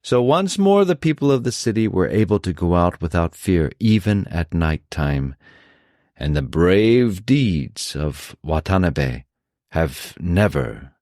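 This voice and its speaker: Deep-voiced, expressive male storyteller. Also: takes it slowly, dry recording, clean studio sound. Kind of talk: dramatic fairy tale narration.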